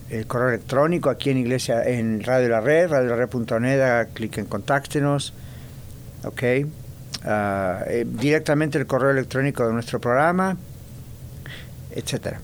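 Pastor guy on church radio, mid voice, slow on the numbers, bit of hiss behind. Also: medium pitch. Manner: warm inviting, repeats details slowly. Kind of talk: radio announcements and talk.

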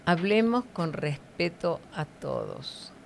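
A woman's voice: lowish voice, big pitch swings, slow, vocal fry, a little hiss or hum. A middle-aged woman giving devotional teaching in conversation, didactic and often checking for agreement with short tag questions.

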